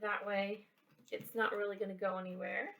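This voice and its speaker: Woman presenter with creaky voice, off mic. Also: mid-range voice, level tone, distant mic, heavy background noise. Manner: halting, explanatory, checks in with "right?". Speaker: library children's program presenter. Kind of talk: casual how-to craft and science demonstration. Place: indoors, in a library building.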